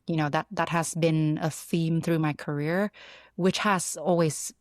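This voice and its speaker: A woman from Vietnam, answering interview questions in a reflective, halting, conversational way. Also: deep voice, steady tone, no echo, clean studio sound. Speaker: interview guest, product builder and designer.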